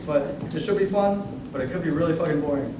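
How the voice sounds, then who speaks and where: A man's voice: on the high side, fast talker, background noise. Young man, casual pre-show announcer, hesitant, irreverent, distant mic, heavy room echo. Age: young adult. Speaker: writer presenting his musical and performer. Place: indoor room at a staged reading. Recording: distant, very echoey room recording, music.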